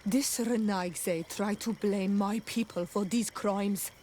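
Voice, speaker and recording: A woman's voice: mid-range voice, steady tone, slow pace, a little mellow, slight background noise, lightly acted. An actress playing a proud, blunt native islander woman in a video game cutscene, stern and defiant. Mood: accusing.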